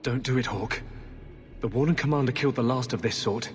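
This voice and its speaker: An actor playing a wary, blunt, brooding companion in a fantasy game, with a husky voice. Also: male voice, medium pitch, a little fast, natural voice. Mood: warning. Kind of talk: dramatic fantasy game acting. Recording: long reverb, music underneath.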